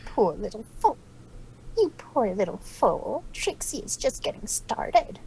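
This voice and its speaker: A woman's voice: a little high, big pitch swings, measured pace, gravelly, darkish tone, slight background noise, full character voice, low-quality recording. A woman voicing boastful cartoon pony mares in a cocky, taunting, theatrical way.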